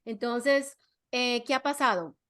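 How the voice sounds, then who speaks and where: A woman's voice: fairly high pitch, slow, a bit bright, smooth. A middle-aged woman chats easily as a podcast guest. She restarts her sentences often, repeats words while she searches for them and drops in English. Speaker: guest, clinical psychologist and parenting expert. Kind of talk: casual podcast conversation.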